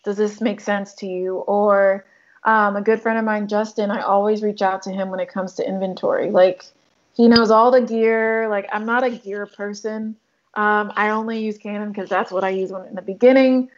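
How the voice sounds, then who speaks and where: A woman's voice: mid-range voice, fairly even intonation, moderate pace, muffled recording. A woman from Congo, a photographer talking casually in an interview, with frequent likes and ums. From Democratic Republic of Congo. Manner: chatty, lots of likes and ums. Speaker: photographer and filmmaker guest.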